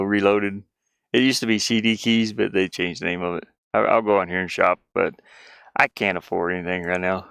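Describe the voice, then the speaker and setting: A man chatting casually on a game livestream, rambling and thinking aloud in a halting way. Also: lowish voice, a bit bright, smooth, no room sound, clean studio sound. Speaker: game streamer and indie game developer.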